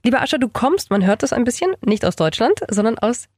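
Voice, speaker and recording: A woman's voice: a little high, big pitch swings, a little fast, a little mellow, husky, dry recording, clean studio sound. A woman hosting an interview, asking friendly, probing questions and steering the talk. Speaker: host.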